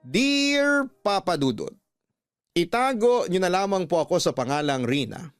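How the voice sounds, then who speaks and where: A man with a Filipino accent reading scripted, sentimental stories as a radio host in a measured way. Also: high-pitched, very animated, clean tone, no echo, studio quality. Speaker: radio storytelling host reading listener letters. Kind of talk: scripted dramatic narration. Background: music bed.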